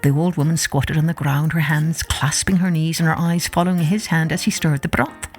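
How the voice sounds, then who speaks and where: A woman narrating a children's folktale as an expressive, scripted storyteller. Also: low voice, fairly quick. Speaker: storyteller, podcast host. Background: music.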